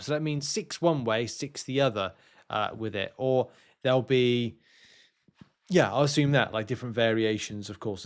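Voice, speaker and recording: A man with a medium-pitched voice hosts a casual gaming news commentary. He thinks aloud in a rambling, hesitant way, moving between reading announcements and offering his own explanations. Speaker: gaming video host.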